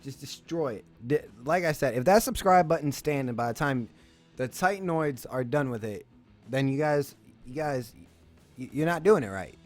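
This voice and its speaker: A man's voice: medium pitch, animated, moderate pace, faint music behind. A man hosting a casual gaming live stream, hyped and rambling, often stammering and restarting his words.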